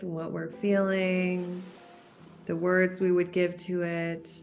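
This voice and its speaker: A woman with a slightly low voice guides a meditation very slowly over background music. Her manner is gentle and unhurried, and she leaves long pauses between soft, simple instructions.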